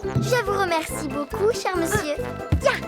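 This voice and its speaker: A tiny, very high-pitched cartoon girl, full of bossy, feisty confidence. She speaks in an assertive, expressive way. Children's music plays prominently behind her. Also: unhurried. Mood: pleased. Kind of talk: French-language children's cartoon dub acting. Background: children's music and jingles.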